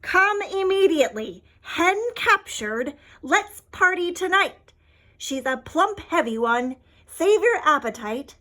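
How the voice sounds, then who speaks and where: Greedy storybook wolf, played by a woman: punchy, clipped, gloating, raised high. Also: very animated, slow pace, bright, forceful tone, exaggerated voice. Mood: gloating, excited. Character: greedy, gloating big mean wolf sending a telegram. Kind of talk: clipped storybook telegram reading.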